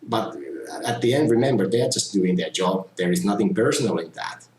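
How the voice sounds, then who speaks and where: Low-voiced man, echoey room. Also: lively intonation, darkish tone. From Finland. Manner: measured, earnest, frequent "you know" fillers. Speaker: community tester. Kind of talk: casual testimonial talk. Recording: roomy echo with faint ambience.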